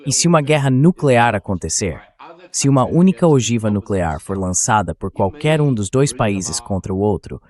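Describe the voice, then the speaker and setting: Low-pitched Brazilian man, emphatic. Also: animated, normal speed, darkish tone. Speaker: interview guest, dubbed into Portuguese. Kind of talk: dubbed political commentary.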